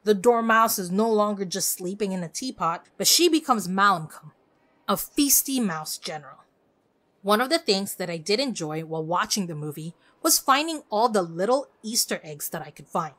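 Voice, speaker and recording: A woman hosting a solo podcast, giving a scripted review in an expressive, opinionated way. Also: mid-range voice. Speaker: podcast host.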